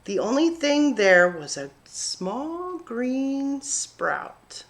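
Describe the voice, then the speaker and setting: A woman with a high, very lively voice reads a children's story aloud. She takes her time, shaping phrases deliberately and expressively, as a story-time reader does. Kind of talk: expressive picture-book read-aloud narration.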